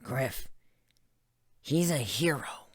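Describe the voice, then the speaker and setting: A reader voicing a blunt but concerned female griffin in a dramatic story reading, earnest and deliberate. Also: mid-range voice, animated, husky, no echo, clean studio sound, exaggerated voice. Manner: earnest, firm, pleading, deliberate. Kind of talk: dramatic reading of fan fiction.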